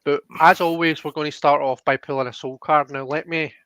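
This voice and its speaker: A man giving a casual live card reading as host, thinking aloud in a halting way, on slightly dull audio. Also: fairly high pitch, fairly even intonation, fairly bright tone, smooth. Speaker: host and tarot card reader.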